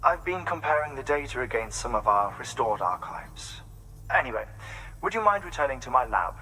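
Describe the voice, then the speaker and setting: Bright-voiced, courteous male scientist. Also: mid-range voice, big pitch swings, moderate pace, slight background noise, rough recording. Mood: appreciative, businesslike. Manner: polite, formal, articulate. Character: courteous, cerebral male scientist running a research lab. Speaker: video game character. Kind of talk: dramatic game dialogue.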